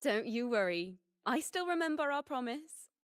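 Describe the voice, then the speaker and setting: A woman's voice: high voice, lively intonation, normal speed, clean tone, dry recording, studio quality. A woman acting an earnest, devoted young adventurer in a video game, speaking expressively. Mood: tender promise. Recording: voice over game music.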